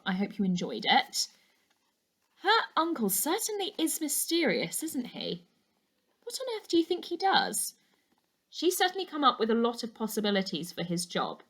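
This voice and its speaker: Female schoolteacher, mid-pitched, for expressive children's storybook read-aloud. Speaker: primary school teacher reading a class book aloud.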